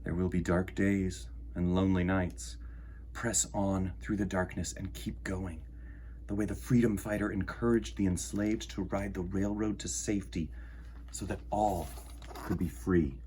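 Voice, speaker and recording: Male storytime host, lowish voice, measured children's book read-aloud, faint noise behind. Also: steady tone, a little mellow.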